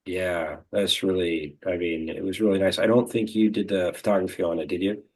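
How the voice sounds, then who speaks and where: A man's voice: low-pitched, steady tone, fairly quick, a little mellow. A man hosting a film interview show, talking in an informal, encouraging way.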